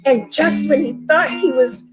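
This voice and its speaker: A woman's voice: high-pitched, unhurried, faint music behind. A woman telling a children's story in a dramatic manner, repeating words for effect.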